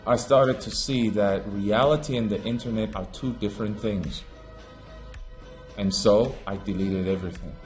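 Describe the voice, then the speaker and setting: A fairly low-voiced man from Africa delivers a fervent, preachy monologue to his viewers. He hammers points with raised emphasis, then sinks into low, muttered asides. Soft music plays faintly behind him. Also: fairly even intonation, moderate pace. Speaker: online commentator. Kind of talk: impassioned monologue to camera.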